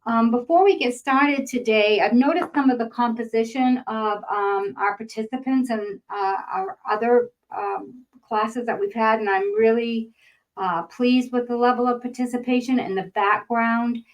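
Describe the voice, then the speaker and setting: A woman's voice: on the high side, steady tone, normal speed, highs cut off. Chatty older woman with Boston accent. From northern Maine. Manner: chatty, frequent ums and uhs. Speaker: grant writing instructor. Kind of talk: webinar presentation. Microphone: webinar microphone.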